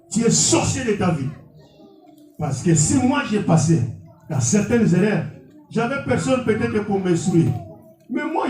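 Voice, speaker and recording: A man whose first language is Portuguese, preaching an impassioned sermon in a church and often shouting. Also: high voice. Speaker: pastor. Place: church hall. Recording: echoey hall, distant mic, faint music.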